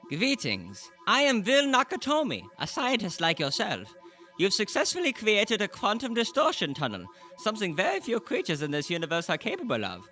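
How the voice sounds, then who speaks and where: A man playing a smug, breezy scientist in an audio drama, glib and quick to justify himself. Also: high-pitched, huge pitch swings, bright, forceful tone, faint music behind, heavily acted. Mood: grand, welcoming.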